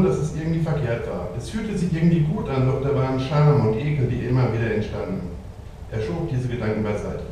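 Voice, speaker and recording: A man's voice: medium pitch, dark tone, a little hiss or hum. Man reciting slam poetry, echoey bar. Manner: intense, rhythmic recitation. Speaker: slam poet. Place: bar stage with audience. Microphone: handheld mic, far camera. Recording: echoey room from far off.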